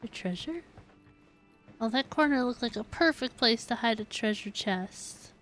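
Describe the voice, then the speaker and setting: A woman's voice: on the high side, lively intonation, measured pace, a bit bright. A woman game streamer giving casual commentary as she plays and reads bits aloud. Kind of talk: casual gameplay commentary, reading quests aloud. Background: game music.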